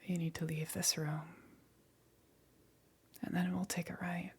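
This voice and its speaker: A woman playing a powerful demon queen, gentle yet calmly menacing, in a breathy whispered ASMR roleplay with long pauses. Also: lowish voice, heavily acted. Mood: soothing, teasing. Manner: slow, soft, coaxing, with long pauses.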